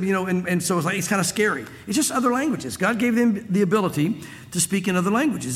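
Man, pastor, high voice, conversational sermon, long reverb of a church hall. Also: a bit bright. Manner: conversational, with many restarts and asides. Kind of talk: expository sermon.

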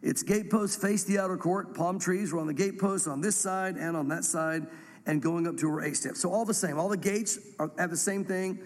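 A man's voice: high voice, moderate pace, in a large hall. A man, congested from a cold and losing his voice, teaching verse by verse through scripture in a church, with rambling self-deprecating asides. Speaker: pastor teaching a Bible study.

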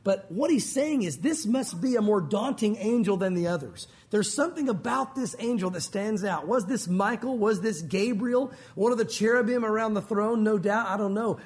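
A male pastor with a loud voice, preaching in a conversational, emphatic way. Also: high-pitched, moderate pace, hardly any pauses. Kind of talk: sermon.

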